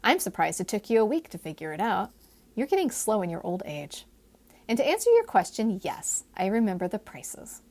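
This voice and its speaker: A woman plays a guarded young heroine of a historical romance audiobook. She is quick-witted, tart and earnest, with an animated pitch that often lifts higher. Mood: defensive, tart.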